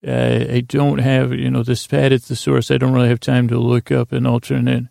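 A man hosting a bedtime podcast in a creaky, gravelly voice, rambling and meandering at a languid, halting pace to lull listeners to sleep. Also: mid-range voice, flat delivery, dark tone, no room sound, clean studio sound. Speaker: podcast host.

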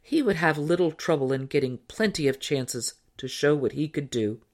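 A low-voiced woman narrates a storybook tall tale. She reads from a script in a measured way, phrasing each sentence clearly like an audiobook storyteller. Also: expressive, darkish tone.

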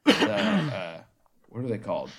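A man's voice: medium pitch, wildly swooping pitch, a little slow, gravelly, slightly rough. A man co-hosting a casual comedy podcast, playful and teasing, laughing often. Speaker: podcast co-host.

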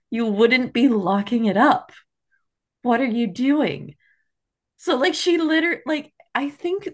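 Casual chatty woman co-hosting a podcast. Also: mid-range voice. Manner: conversational, heavy use of "like", candid. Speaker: book podcast co-host. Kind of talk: casual book chat.

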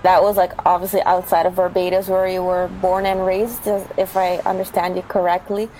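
A woman's voice: lowish voice, flat delivery, normal speed, warm tone, slight background noise, poor audio. A woman co-hosting a podcast, chatting casually and asking curious follow-up questions. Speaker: podcast co-host.

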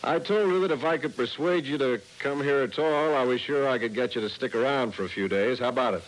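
An actor playing a friendly, persuasive insurance manager in a mid-century radio drama. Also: male voice, medium pitch, fairly quick, natural voice. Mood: friendly, coaxing. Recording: slightly noisy old radio recording.

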